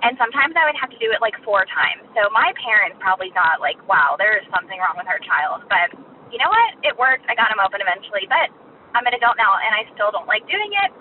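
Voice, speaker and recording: A woman's voice: mid-range voice, very animated, fast. A woman caller leaving a casual, anecdotal voicemail for a show. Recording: tinny, noisy phone voicemail.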